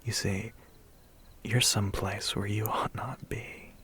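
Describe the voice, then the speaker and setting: A man's voice: lowish voice, steady tone, slow, fairly bright tone, husky, exaggerated voice. A man playing a smug, menacing, centuries-old vampire biker in an ASMR roleplay, whispering breathily with a condescending, teasing manner. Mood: ominous.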